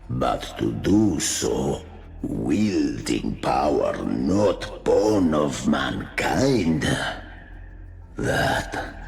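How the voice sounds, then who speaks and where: An actor playing an ominous, grandiose otherworldly cult leader in a video game, slow, solemn and gravelly, with theatrical menace. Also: male voice, extremely low pitch, heavily acted. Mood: solemn warning.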